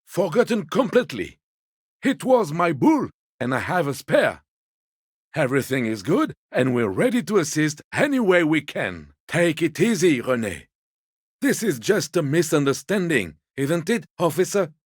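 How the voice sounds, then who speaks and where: An actor playing an elderly, polite and evasive old man in a video game, speaking in a mild, apologetic and deferential way with a husky voice. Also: a little high, big pitch swings, moderate pace, no room sound, clean studio sound. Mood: apologetic, placating. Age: older. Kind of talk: video game character dialogue.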